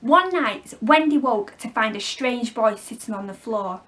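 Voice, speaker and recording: A woman storyteller reading a children's story expressively, with clear phrasing for young listeners. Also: fairly high pitch, lively intonation, unhurried, fairly bright tone. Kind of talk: expressive scripted storybook narration.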